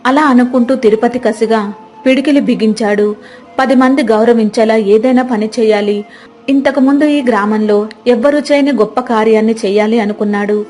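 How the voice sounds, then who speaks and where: A woman's voice: on the high side, brisk, darkish tone, faint music behind. A woman storyteller reading a scripted moral tale as narrator.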